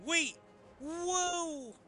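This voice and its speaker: A man voicing an excitable, cheerful fantasy adventurer from an anime game, exclamatory and animated. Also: very high voice, very slow, faint music behind, voice pushed up, exaggerated voice. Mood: excited, alarmed. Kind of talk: dramatic read-aloud of game dialogue.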